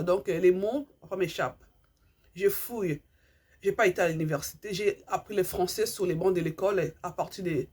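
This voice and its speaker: Husky-voiced woman. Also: on the low side, a little mellow. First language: Lingala. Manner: earnest, repeats herself, hunts for words. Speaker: vlogger. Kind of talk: impassioned monologue to subscribers.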